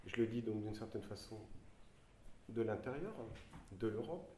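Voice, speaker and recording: A man's voice: fairly low pitch, lively intonation, normal speed, a little mellow, a little hiss or hum. A man from France speaking in a public discussion, hesitant and searching for his words. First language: French. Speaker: panelist. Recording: roomy hall sound.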